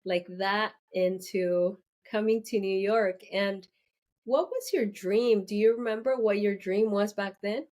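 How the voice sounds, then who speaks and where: A woman's voice: mid-range voice, steady tone, a little mellow. A woman hosting an interview, encouraging her guest with frequent affirmations. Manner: slow, encouraging, many affirmations. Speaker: interview host.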